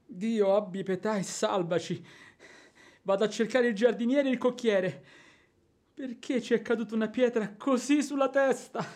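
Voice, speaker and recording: Actor as plaintive, fretful old manservant. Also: male voice, sits high, fairly even intonation, normal speed, a little mellow, lightly acted. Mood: pleading. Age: older. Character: timid, pleading old male household servant. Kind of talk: Italian radio drama acting.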